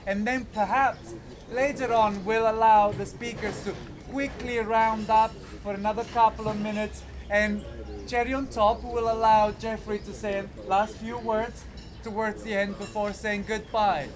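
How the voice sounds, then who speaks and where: A moderator hands over the floor at a public forum, speaking politely and a little hesitantly. The voice sits at a middle pitch. A crowd murmurs clearly in the background. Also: level tone, a little slow. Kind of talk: chairing a public discussion.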